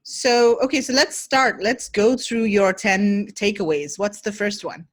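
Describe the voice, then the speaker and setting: A woman's voice: medium pitch, unhurried. A woman hosting a podcast, introducing her guest and asking questions in a friendly, upbeat way. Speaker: podcast host. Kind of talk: podcast interview.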